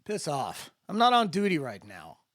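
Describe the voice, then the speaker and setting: A man's voice: fairly high pitch, huge pitch swings, moderate pace, a bit bright, no echo, clean studio sound, pitched above the performer's own voice. A man voicing a tough young newcomer, curious and defiant, in a dramatic story reading.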